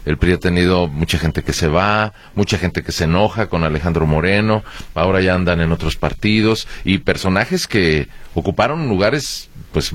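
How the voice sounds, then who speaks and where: Host: Mexican man, very deep voice, formal and polite interviewer, dry booth. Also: dry recording. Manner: formal, courteous questioning. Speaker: radio host. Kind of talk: radio interview.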